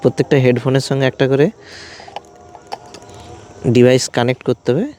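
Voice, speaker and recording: Mellow-voiced man explaining step by step. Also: medium pitch, level tone, a little fast, slight background noise. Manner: step-by-step explaining in short phrases. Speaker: vlogger reviewing gear. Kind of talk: hands-on product demonstration.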